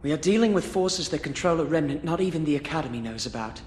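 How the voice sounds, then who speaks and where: Actor as a composed, higher-voiced young noble lord. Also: male voice, animated, darkish tone, a little hiss or hum, natural voice. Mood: grave, firm. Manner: formal, measured. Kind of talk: video game cutscene acting.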